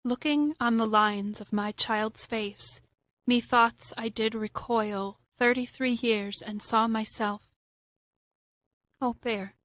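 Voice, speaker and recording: A woman playing a content, firm wife in a modern radio drama, speaking in a measured way. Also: fairly high pitch, steady tone, fairly bright tone. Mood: reflective. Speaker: radio play actor.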